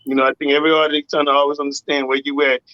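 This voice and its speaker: A man with a rough, raspy voice, a singer and producer talking casually in an interview and often saying "you know what I'm saying". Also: a little high, flat delivery, rapid-fire, no echo, muffled recording. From Southern US.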